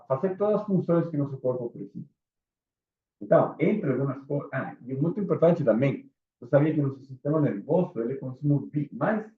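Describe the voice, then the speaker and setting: A man's voice: medium pitch, phone-quality sound. Chilean guy teaching a class in portuguese, spanish accent, friendly lecture, echoey room, phone-ish sound. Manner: casual, engaging, asks the audience questions. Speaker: instructor. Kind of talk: live lecture to a small group.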